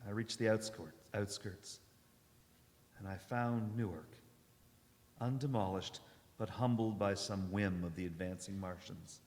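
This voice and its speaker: A man playing a haunted, weary wanderer, solemn and sometimes halting, in a live radio drama. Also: lowish voice, a little mellow. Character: haunted, weary male wanderer narrating his survival. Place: church hall stage, live performance. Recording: echoey hall, live performance.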